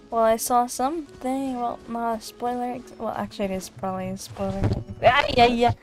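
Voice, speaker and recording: A woman's voice: on the high side, steady tone, moderate pace, rough recording. A woman chatting casually as she reacts to a game, giggly and exclamatory. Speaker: gamer reacting to a game story. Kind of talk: casual chat, playthrough reactions. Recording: game music behind the voice.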